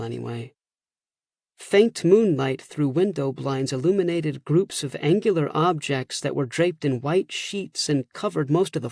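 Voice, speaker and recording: A man narrates a children's storybook expressively, like a professional audiobook reader. His voice is fairly high and husky, with a gravelly edge, recorded dry and close. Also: darkish tone, no echo.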